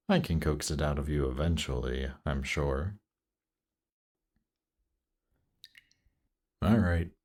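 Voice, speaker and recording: A man playing a suave, patient, seductive demon prince in a fantasy roleplay audio drama, speaking in a teasing, coaxing, measured way. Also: a bass voice, a little mellow. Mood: musing, intimate. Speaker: voice actor. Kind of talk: slow, intimate roleplay audio drama performance.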